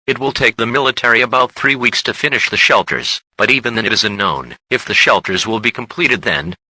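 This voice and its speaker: A man delivers a scripted emergency announcement in an extremely bright, forceful voice. The recording is dry and close, with the formal wording of an official broadcast alert. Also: mid-range voice, dry recording, poor audio.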